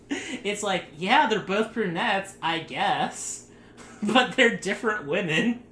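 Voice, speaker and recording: A man chatting loosely as a podcast host, his bright, forceful voice carrying through a rambling, sarcastic riff with frequent laughs. The room rings with echo, and there's a faint noise floor under him. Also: high-pitched, expressive, slow, pauses often. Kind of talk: casual group podcast chat.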